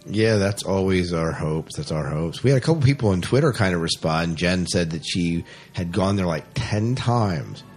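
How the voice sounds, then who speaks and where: Low-voiced man hosting a casual podcast. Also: lively intonation, normal speed, hardly any pauses, faint music behind. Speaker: podcast host. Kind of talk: casual chat.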